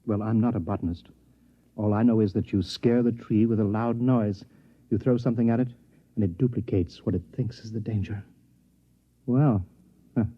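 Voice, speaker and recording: A man's voice: on the low side, steady tone, normal speed, long pauses, breathy edge. An actor playing a gloating, menacing con man in a 1950s radio drama, with a smug, theatrical, taunting manner. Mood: smug, amused. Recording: dull vintage 1950s radio recording.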